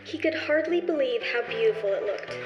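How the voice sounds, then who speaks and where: A woman's voice: a little high, expressive, fairly quick. A woman narrating a scripted story in the manner of an old-time radio show. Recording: roomy, dull recording with faint music.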